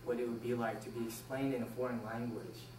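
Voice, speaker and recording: A young man from Taiwan delivers a prepared personal speech to an audience. He tells it deliberately, pausing between phrases. The recording is roomy and distant, with faint noise behind him. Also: mid-range voice, flat delivery. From born in Taiwan, moved to the United States at six. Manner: deliberate, measured storytelling with pauses. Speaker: speech club member giving a speech. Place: indoor room, recorded from a distance.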